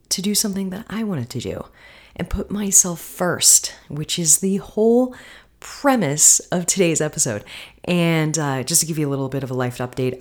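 A woman hosting her podcast, talking casually and encouragingly with a husky voice gone hoarse from singing. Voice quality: husky, deeper than usual. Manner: chatty, encouraging. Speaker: podcast host. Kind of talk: casual solo podcast talk.

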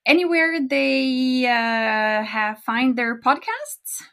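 Norwegian woman, norwegian accent, giggly, chatty, higher voice, unhurried phrasing. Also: expressive, creaky, bright, forceful tone. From Norway. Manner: chatty and giggly, talks fast. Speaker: podcast co-host. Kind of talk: casual chat.

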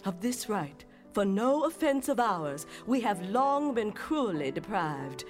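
Slow, solemn woman petitioning for freedom. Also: mid-range voice, big pitch swings, faint music behind. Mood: solemn, fervent. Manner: declamatory, measured oratory with weighty pauses. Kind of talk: theatrical dramatic reading of historical documents.

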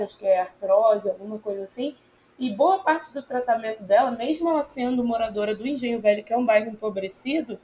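A woman, giving a reflective interview answer and often pausing and repeating words as she thinks. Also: a little high, a little hiss or hum. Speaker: community church member.

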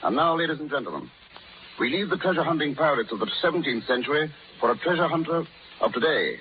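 A man's voice: a little high, lively intonation, quick pace. A man announcing formally in a scripted 1930s radio style. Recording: tinny, noisy 1930s radio broadcast.

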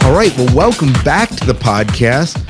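A man's voice: medium pitch, sing-song, normal speed, music in the background. A man hosting a podcast in a casual style, chatting and reading out news with conversational asides. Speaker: podcast host.

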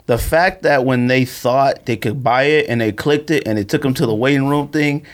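A man co-hosting a podcast in casual, slangy, animated chat, telling stories and often amused. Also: mid-range voice, normal speed. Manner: animated storytelling, frequent laughter, slangy. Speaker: podcast co-host. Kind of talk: casual chat about sneakers.

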